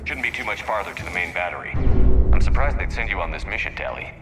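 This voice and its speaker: A man's voice: deep voice, expressive, fast talker, loud music behind the voice. A man playing a resolute human military commander in a science fiction video game. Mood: casual, familiar.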